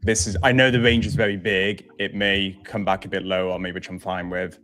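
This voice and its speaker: A man hosting a live trading stream, from Wales but without a Welsh accent, explaining probabilities in relaxed, casual chat. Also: deep voice, a little fast. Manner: explains stats plainly, relaxed banter.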